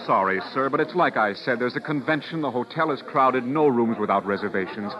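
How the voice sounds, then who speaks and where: An actor playing a busy, polite and officious hotel desk clerk in a 1940s radio drama. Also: male voice, mid-range voice, animated, fairly quick, crowd noise, close to an everyday voice. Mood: brisk, polite. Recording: muffled old radio recording.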